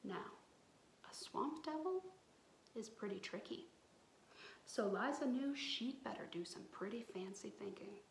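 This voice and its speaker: Woman, thirties, expressive storybook narration, higher pitch, home recording, faint background noise. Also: measured pace, pauses often. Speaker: children's story reader. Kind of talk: expressive storybook read-aloud. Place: small bright room at home. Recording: roomy echo, some background noise.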